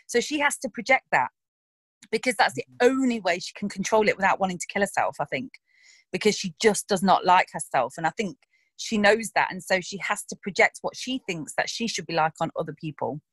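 Frank, animated woman, dry sound. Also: medium pitch, fairly quick, slightly rough, dry recording. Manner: frank, animated, swears casually, laughs often. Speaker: actress discussing her roles. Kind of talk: casual interview chat.

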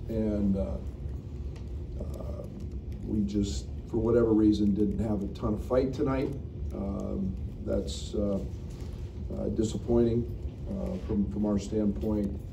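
A middle-aged coach talks at a table in a press room, frank and halting, full of restarts. His voice sits a bit low. The sound is roomy and noisy, picked up from a distance. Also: creaky. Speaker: basketball coach. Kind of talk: postgame press conference. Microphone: table mic, recorded from the room.

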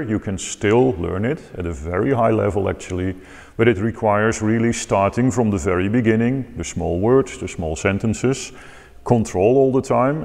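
A man in his forties from the Netherlands with a Dutch accent, calmly explaining how to learn organ improvisation, seated in a large church and filmed from a distance. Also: lowish voice. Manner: measured, thoughtful, gestures with hands while explaining. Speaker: organ teacher. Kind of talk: calm explanatory talk to camera. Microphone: far camera, seated at a table. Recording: long church reverb.

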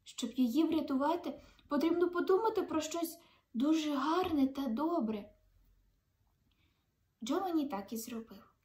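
A woman's voice: high-pitched, lively intonation, unhurried, long pauses, darkish tone. A young woman reading a children's fairy tale aloud expressively, as a storyteller. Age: young adult. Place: indoors in a room, seated.

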